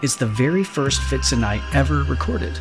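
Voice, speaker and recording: A man's voice: medium pitch, expressive, normal speed. A man reading a scripted introduction as the creator of a podcast. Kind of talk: scripted announcement. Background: guitar music.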